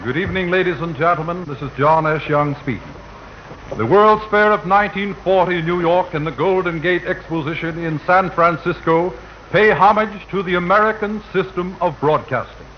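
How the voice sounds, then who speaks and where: A man's voice: high-pitched, normal speed. A man announcing in a formal, stately, oratorical 1940s broadcast style. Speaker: radio announcer. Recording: dull, noisy 1940 radio broadcast.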